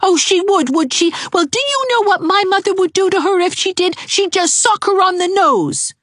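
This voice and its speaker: A woman voicing a hot-tempered little boy who shouts threats and stammers with rage. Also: low voice, moderate pace, breathy edge, dry recording, studio quality. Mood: furious.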